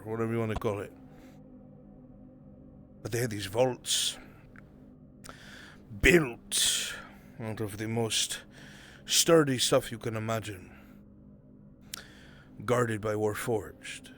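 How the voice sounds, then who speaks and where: A man playing a worldly, sly fantasy adventurer in live roleplay, with deliberate, coarse-mouthed delivery. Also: fairly low pitch, fairly bright tone, a little hiss or hum. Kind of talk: live improvised fantasy roleplay in virtual reality. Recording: background music behind the voice.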